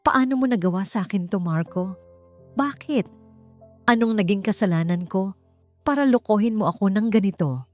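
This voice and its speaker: A woman's voice: medium pitch, lively intonation, normal speed, long pauses, a little mellow, lightly acted. A woman voicing a heartbroken, proud young girlfriend in an audio love story, with music behind her. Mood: anguished, tearful. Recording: music bed under the voice.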